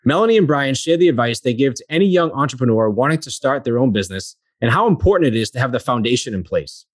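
A man hosting a podcast in an easygoing interview manner. His voice sits at a middle pitch and sounds dry and close, with little room around it. He reads a prepared introduction, then chats casually. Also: no echo. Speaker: podcast host.

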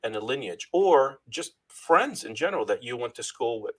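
A man's voice: mid-range voice, sing-song, moderate pace, a bit bright, husky. A middle-aged man originally from Lebanon, a guest in an interview, telling his life story earnestly and thanking God often, with frequent restarts.

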